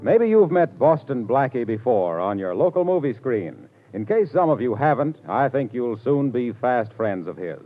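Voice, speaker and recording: A man's voice: medium pitch, big pitch swings, moderate pace, hardly any pauses, faint music behind. A male radio announcer in a 1940s broadcast, polished and emphatic. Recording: tinny, phone-like old radio broadcast.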